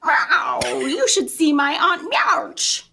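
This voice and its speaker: A woman's voice: sits high, big pitch swings, slow pace, gravelly, bright, forceful tone, full character voice. A woman voicing a chatty, flustered, prim young lady cat in a gushing, theatrical, rambling way.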